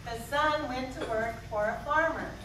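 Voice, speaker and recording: A woman's voice: high voice, normal speed, background noise. A woman giving scripted narration of a children's story. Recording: echoey, distant, loud music behind.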